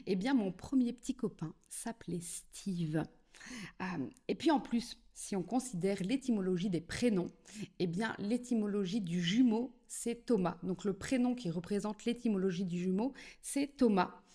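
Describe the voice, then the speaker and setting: A woman with a Belgian French accent talks to the viewer in a friendly, conversational way, as if sharing a personal story. Her voice sits in an ordinary middle pitch. She keeps tying her points together with small spoken connectors. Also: darkish tone. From French-speaking southern Belgium. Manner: chatty, addresses the viewer directly, many "eh bien" and "alors". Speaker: psychogenealogy video creator. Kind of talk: casual explanatory talk to camera.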